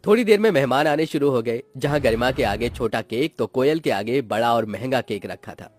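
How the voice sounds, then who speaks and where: Male narrator for cartoon moral stories, expressive and melodramatic, very animated intonation. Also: medium pitch, moderate pace, slight background noise. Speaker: story narrator. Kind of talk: scripted dramatic storytelling narration for a cartoon moral tale.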